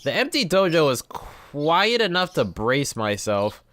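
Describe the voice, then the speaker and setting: A man's voice: on the high side, very animated, a little slow, a bit bright, no echo. A man hosting a gameplay video, reading visual novel text aloud and dropping in informal asides. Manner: informal, reacts to the text he reads.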